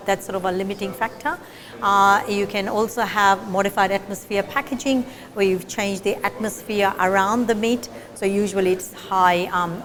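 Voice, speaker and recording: A middle-aged woman from Fiji, a meat scientist, explaining her research in an interview with frequent fillers and restarts. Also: mid-range voice. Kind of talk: interview answers.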